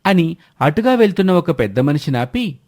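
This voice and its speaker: A man's voice: fairly high pitch, sing-song, moderate pace, a little mellow. A man narrating a scripted moral family story as the storyteller.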